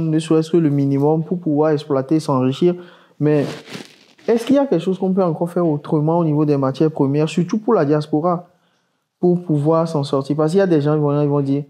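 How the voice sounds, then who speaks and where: Male podcast host, curious and questioning, slightly higher mellow voice. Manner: curious, questioning, thinks aloud with fillers. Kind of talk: casual podcast conversation.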